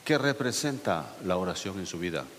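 Pastor preaching in an echoey hall. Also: male voice, deep voice, very animated, moderate pace, a bit bright. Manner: asks the congregation questions, rises to emphatic peaks. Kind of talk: sermon. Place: church sanctuary. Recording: echoey church hall with faint hiss.

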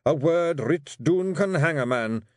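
Brief: loud, high, growling Scottish pixie brawler, as a man puts him on. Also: expressive, measured pace, no echo, clean studio sound. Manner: growling, blustering. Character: gruff small male warrior pixie chief. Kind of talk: audiobook character voice.

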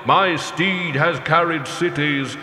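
A man voicing Death, an ancient solemn skeletal horseman who speaks slowly in grave, archaic tones. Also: bright, forceful tone, heavily acted. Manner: slow, grave, archaic and booming. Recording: roomy echo with long reverb.

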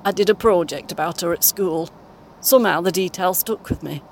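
A woman playing a kindly, encouraging British grandmother in her eighties in an audio play, speaking gently and reassuringly. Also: normal speed, lightly acted. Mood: reminiscing. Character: kindly, encouraging 81-year-old grandmother on a coach. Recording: clear vehicle rumble noise. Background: vehicle ambience.

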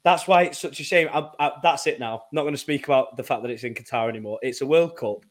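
A man in his twenties co-hosting a casual football podcast, animated and laughing often as he repeats words while he thinks. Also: mid-range voice, fast. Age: 20s. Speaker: podcast co-host. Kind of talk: casual chat.

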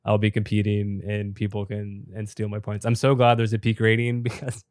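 A man co-hosting a podcast who is a little sick and losing his voice, so he sounds slightly raspy, explaining things in a chatty way with many filler words. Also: lowish voice, monotone, moderate pace, a little mellow, no room sound, studio quality. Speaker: podcast co-host and top competitive player.

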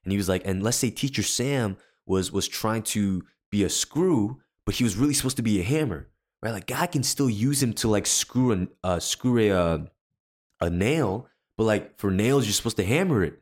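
Deep-voiced man telling a story. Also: lively intonation, normal speed. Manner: rambling, filler-heavy storytelling with many restarts. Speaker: podcast co-host; worship leader. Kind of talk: casual storytelling chat.

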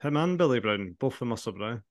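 A man's voice: mid-range voice, animated, brisk, dry recording. Scottish man chatting, dry close sound. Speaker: podcast regular. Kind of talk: casual chat.

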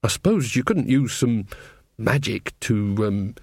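A man's voice: fairly high pitch, very animated, normal speed, darkish tone, husky, dry recording, studio quality, pitched above the performer's own voice. A man voicing a flustered young queen who puts on pompous regal airs in a storybook reading. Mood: worried. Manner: pompous, speaks of herself as "one".

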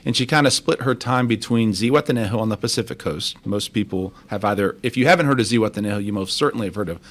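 A man from Texas, a city finance official, answering interview questions in a measured, explanatory way with frequent restarts. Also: lowish voice. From Texas, United States. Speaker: guest, deputy city controller.

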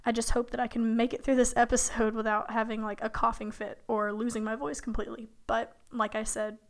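A young woman hosting her own podcast in a casual, rambling way, her voice a bit hoarse from being sick. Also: fairly high pitch, flat delivery, a little fast. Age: young adult. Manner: chatty, rambling, with fillers and light laughs. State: hoarse from illness. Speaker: podcast host. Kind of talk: casual solo podcast talk.

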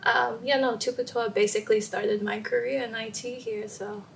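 A young woman from Samoa, a podcast guest talking softly and casually with many fillers. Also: fairly high pitch, steady tone, normal speed, creaky, slight background noise, rough recording. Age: young adult. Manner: soft-spoken, frequent "um" and "like". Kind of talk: casual interview talk.

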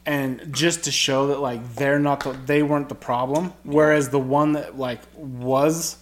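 A man in his forties co-hosting a podcast in casual chat, talking in halting starts, heavy with fillers. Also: mid-range voice, fairly even intonation, a little hiss or hum. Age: 40s. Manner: halting, many fillers and restarts. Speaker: podcast co-host.

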